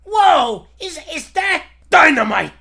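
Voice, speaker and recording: A man voicing a panicked, excitable mine captive, exclaiming in high-strung 1940s radio serial melodrama. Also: slow, creaky, exaggerated voice. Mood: alarmed.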